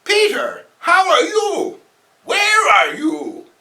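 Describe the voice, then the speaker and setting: A man voicing a sweet, fussing elderly aunt in a comic book reading, doting and theatrical with drawn-out words. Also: very high-pitched, wildly swooping pitch, pushed and bright, far from the mic, pitched above the performer's own voice, heavily acted. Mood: doting concern.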